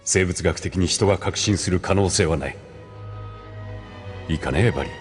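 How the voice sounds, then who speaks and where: An actor playing a grave, authoritative older man who lectures in a measured, formal way in an audio drama. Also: low-pitched, soft background music, lightly acted. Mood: solemn, didactic. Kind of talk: Japanese audio drama acting.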